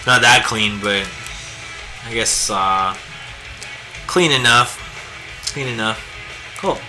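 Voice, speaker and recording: A hoarse, raspy man chatting casually and rambling with lots of fillers while he draws on a live stream. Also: medium pitch, slow. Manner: laid-back, rambling, many fillers. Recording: music playing behind the voice. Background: guitar music.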